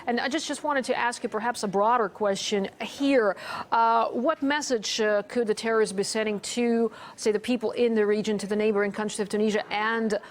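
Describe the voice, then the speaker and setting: A woman's voice: fairly high pitch, fairly even intonation, a little fast. A woman presenting TV news and interviewing a guest, with many hesitant fillers. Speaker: news anchor.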